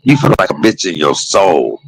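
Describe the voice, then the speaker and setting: A man's voice: low-pitched, very animated, normal speed, gravelly, poor audio. A man chatting casually from a hospital room, joking and teasing with bursts of laughter. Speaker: podcast host. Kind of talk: casual chat.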